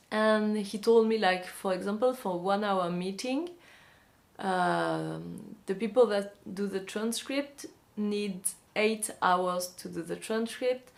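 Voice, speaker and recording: A young woman with a French accent, talking casually and hesitantly to camera in a vlog, with many pauses and restarts. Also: medium pitch, gravelly. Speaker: vlogger, magazine publisher.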